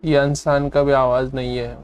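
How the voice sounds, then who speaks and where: A man's voice: mid-range voice, little pitch movement, very fast, clean tone, highs cut off, faint music behind. A man from India with an Indian accent, chatting casually as he streams a game live.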